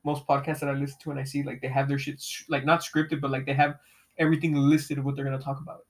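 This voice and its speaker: A young man with a fairly high voice hosting a casual podcast, talking in laid-back slang, swearing freely and laughing often. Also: flat delivery, quick pace. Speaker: podcast host. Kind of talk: casual chat with heavy swearing.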